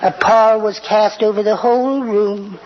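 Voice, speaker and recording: An actor playing a meek, timid, mischievous little husband, polite and hesitant, in a 1940s radio comedy. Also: male voice, sits high, steady tone, measured pace. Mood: mild, giggly. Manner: mild, polite, hesitant, giggly. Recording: dull noisy vintage radio, loud audience.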